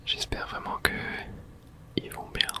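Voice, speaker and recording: A person whispering breathily while narrating their own video game play in an ASMR style, murmuring reactions and mild swearing. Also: measured pace.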